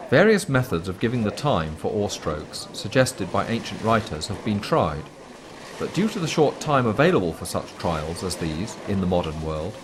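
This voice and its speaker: A man reading scripted documentary commentary in a measured, formal, explanatory manner. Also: lowish voice, big pitch swings, background noise. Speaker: documentary narrator.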